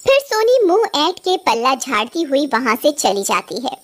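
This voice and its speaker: High-voiced, animated woman storyteller. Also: normal speed. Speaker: narrator. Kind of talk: animated scripted horror story narration.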